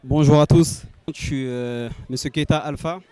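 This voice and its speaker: A man's voice: a little high, fairly even intonation, moderate pace, mellow, clean tone, soft background music. A man born in Senegal and raised in France, speaking hesitantly with many fillers in an outdoor testimonial. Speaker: nurse and investor. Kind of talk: promotional testimonial.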